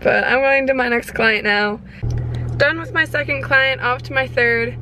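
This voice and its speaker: A young woman talking casually and candidly in a personal daily vlog, rambling a little and hoarse from a long day of talking. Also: high-pitched, fairly even intonation, normal speed, bright, forceful tone, soft background music, rough recording. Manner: rambling, candid, self-deprecating. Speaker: vlogger.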